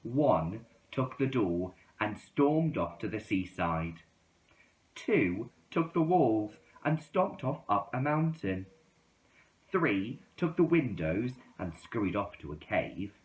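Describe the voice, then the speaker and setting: A man reading a children's story aloud in an expressive storytelling style. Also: lowish voice, slow. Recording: roomy, echoing indoor sound.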